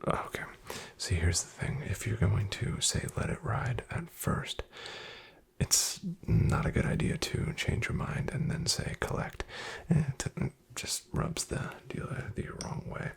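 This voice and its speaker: A half-whispered, heavily creaky voice from a man in an ASMR roleplay as a smooth, shady illegal card dealer. He takes his time, drawling slowly with wry, faintly menacing asides. His soft delivery still swings noticeably in pitch. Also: sing-song, heavily acted. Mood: mildly irked. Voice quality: whispery, breathy, gravelly. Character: shady, smooth back-alley illegal casino dealer, male, wryly menacing.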